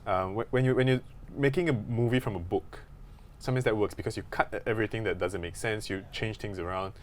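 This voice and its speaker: A man's voice: on the low side, fast talker. A man co-hosting a podcast, thinking aloud in casual discussion, with faint noise in the background. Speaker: podcast co-host.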